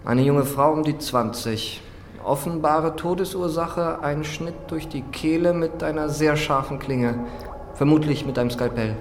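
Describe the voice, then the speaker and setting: An actor playing a dry, brusque forensic pathologist in a radio drama, with clinical, curt and sardonic delivery. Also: male voice, on the high side, measured pace, echoey room, in a large hall, slight background noise. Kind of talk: naturalistic German radio drama acting.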